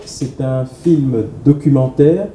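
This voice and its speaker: Male guest, halting, filler-heavy delivery, echoey studio with noticeable hiss. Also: medium pitch, dark tone. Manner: hesitant, many fillers and restarts. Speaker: guest film producer. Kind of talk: interview talk. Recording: echoey studio with clear background noise.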